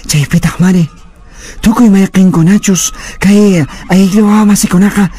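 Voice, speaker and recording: A man's voice: high-pitched, steady tone, a little slow, mellow, slightly rough. A man narrating a radio drama from a script in a dramatic storytelling style. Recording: faint music and crowd noise behind.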